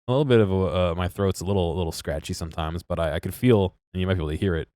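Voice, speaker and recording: A man's voice: deep voice, racing, warm tone, no room sound, studio quality. A congested man chatting casually to his live-stream audience, rambling and interrupting himself, chuckling now and then.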